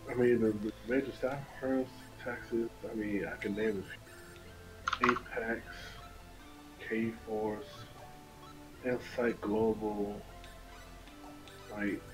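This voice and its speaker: A man's voice: fairly low pitch, steady tone, slow pace, long pauses, poor audio. A man chatting in a casual, colloquial way over an online voice call. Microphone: online voice chat. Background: video game music.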